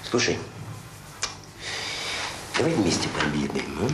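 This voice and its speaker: An actor playing an awkward, shy, kind-hearted middle-aged man in a Soviet radio drama, talking in a halting, self-conscious, informal way. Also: very deep voice, very animated, far from the mic, full character voice. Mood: eager, awkward. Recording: roomy, clearly noisy old radio play recording.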